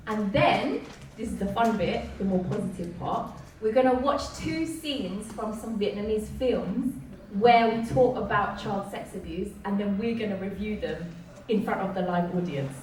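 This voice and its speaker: A woman hosting a live community event, chatty and upbeat, often laughing as she talks. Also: medium pitch. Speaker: event host. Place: community event hall, indoors. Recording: echoey room, distant mic, background noise.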